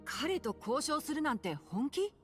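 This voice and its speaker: Thoughtful, earnest boy, anime game. High-pitched, reverberant, faint music beneath. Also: sing-song, fairly quick. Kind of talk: Japanese anime game voice acting.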